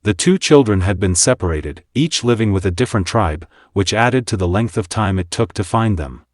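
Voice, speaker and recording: Male history narrator, lowish register, scripted delivery, close dry sound with no room echo. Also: lively intonation, normal speed.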